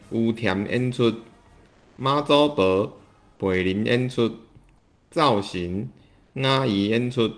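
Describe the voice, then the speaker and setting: A man reading out production credits in a scripted announcer style. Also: medium pitch.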